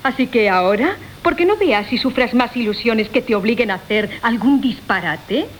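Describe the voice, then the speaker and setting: A woman's voice: on the high side, animated, moderate pace, lightly acted. A woman playing a scheming, wheedling coquette in a classical radio comedy. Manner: theatrical, wheedling. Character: scheming, coquettish woman Bárbara, duping her lovers. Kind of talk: Spanish classical comic radio theatre acting. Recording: hissy 1980 radio broadcast.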